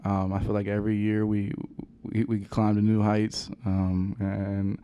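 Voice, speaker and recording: A young man, a college basketball player, answering questions at a post-game press conference in a halting, soft-spoken way with many fillers, into a table microphone. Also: fairly low pitch, completely flat delivery, clean tone, dull audio. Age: young adult. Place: press conference room with sponsor backdrop.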